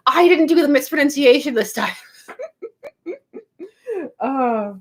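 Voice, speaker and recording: A woman's voice: high-pitched, animated, slow pace. A woman from Canada hosting a casual livestream from her home studio, chatting and reading out viewer comments, giggling often and drifting from topic to topic. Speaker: YouTube livestream host.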